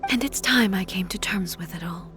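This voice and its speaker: A woman voicing a solemn, composed female ruler of divine rank in a video game, speaking in a formal, measured, soft and hushed way. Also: medium pitch, over music, lightly acted. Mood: sorrowful.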